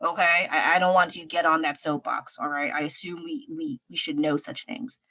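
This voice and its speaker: A young woman from the States talks frankly on a late-night stream while she paints, thinking out loud and laughing easily. Her voice sits a little low but sounds bright and forward. She rambles in a loose, casual way. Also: steady tone, normal speed, rough recording. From United States. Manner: chatty and frank, laughs often, thinks aloud. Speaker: livestreaming artist. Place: home at night.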